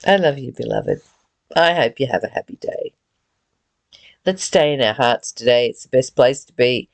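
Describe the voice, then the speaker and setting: A woman giving a reflective spiritual reading and teaching in an earnest, deliberate, gently exhorting way. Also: very deep voice, expressive, vocal fry. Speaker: reader and spiritual study teacher. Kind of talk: read-aloud spiritual text with reflective, informal commentary.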